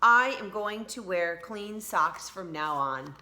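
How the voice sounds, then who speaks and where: A woman's voice: mid-range voice, animated, takes it slowly, bright, forceful tone. A woman voicing a stubborn, cheeky schoolgirl in a children's storybook, with an exclaiming, childlike delivery. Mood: resolute.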